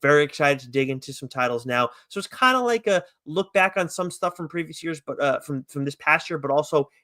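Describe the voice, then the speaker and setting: Man, slightly high voice, podcast co-host, casual review chat, rambling, enthusiastic, very dry close sound. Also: animated, a little fast, sharp tone, no echo.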